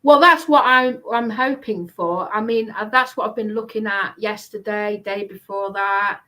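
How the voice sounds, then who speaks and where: Woman, British accent, average pitch, informal political chat, emphatic delivery. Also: moderate pace, sharp tone. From United Kingdom.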